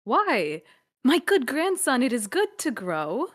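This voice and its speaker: A woman's voice: high voice, wildly swooping pitch, slow, heavily acted. A woman playing a dignified, bitter old royal grandmother in a Shakespearean radio play, speaking formal declamatory verse. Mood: fond.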